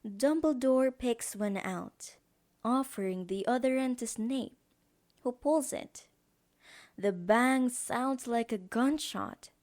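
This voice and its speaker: A woman's voice: fairly high pitch, darkish tone. A woman reading scripted narration as the narrator of an audio drama.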